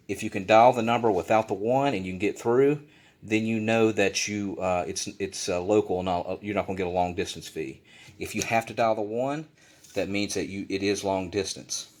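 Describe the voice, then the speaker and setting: Low-pitched man giving a casual how-to. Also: darkish tone. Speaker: instructor, video presenter. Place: indoor room at a desk.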